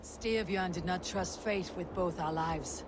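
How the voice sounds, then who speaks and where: An actress playing a fierce, loyal young Viking warrior in a video game, speaking tersely and solemnly. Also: female voice, lowish voice, a little slow, background noise, close to an everyday voice. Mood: resolute. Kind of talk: video game cutscene acting.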